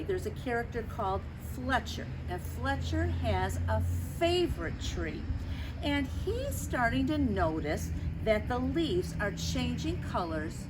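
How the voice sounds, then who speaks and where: A woman reading a picture book aloud for young children, expressive and explanatory, talking directly to her listeners. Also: a little high, noisy background. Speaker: storytime reader.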